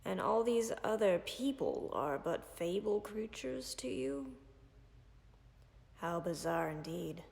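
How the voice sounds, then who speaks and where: A calm, caring female dragon, voiced by a woman, speaks in a deeply gravelly, fry-laden voice. She talks very slowly and softly, often dropping close to a whisper. Her words trail off into a long, lingering reverb. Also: full character voice. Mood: calm, tender. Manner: soft, measured, often near-whispered. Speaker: voice actor in audio roleplay. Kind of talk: audio roleplay for a listener.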